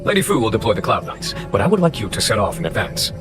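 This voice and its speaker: An actor voicing a calm, wily male general in a video game, unhurried, courteous and wryly formal. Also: fairly low pitch. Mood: composed, commanding. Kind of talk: scripted video game voice acting. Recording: game music underneath.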